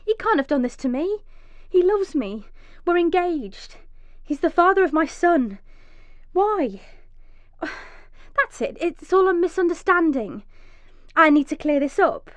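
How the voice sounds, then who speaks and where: An actress playing a frightened, injured young mother in a radio drama, emotional and swinging from hushed dread to pleading. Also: very high-pitched, big pitch swings, unhurried, no echo, exaggerated voice. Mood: panicked disbelief. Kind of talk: radio drama monologue with acted dialogue.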